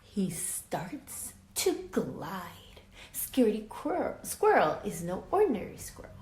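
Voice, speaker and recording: A woman reading a picture book aloud to children as a teacher, dramatic and expressive, pausing to ask her listeners questions. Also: medium pitch. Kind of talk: animated children's read-aloud with asides to listeners. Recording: roomy echo with faint background noise.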